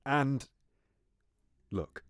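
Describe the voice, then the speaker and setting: An actor playing a ruthless, scheming royal uncle in Shakespearean audio drama, courteous in measured, formal verse. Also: male voice, a bit bright, no echo, clean studio sound. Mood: coolly ruthless.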